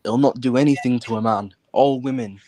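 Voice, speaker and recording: A British man talking casually and bluntly, with plenty of swearing. His pitch sits in the middle for a man, and the sound is dry with no room on it. He presses his points insistently. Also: fairly quick, dark tone, no room sound. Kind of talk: casual chat.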